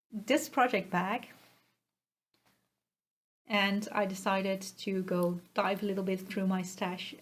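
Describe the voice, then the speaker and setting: A woman with a Dutch accent hosts a casual vlog-style podcast. Her voice sits at a middling pitch for a woman. She talks in a hesitant, halting way and comes across as modest about herself, speaking directly to her viewers. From Netherlands. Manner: hesitant, halting, self-effacing. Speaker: podcast host.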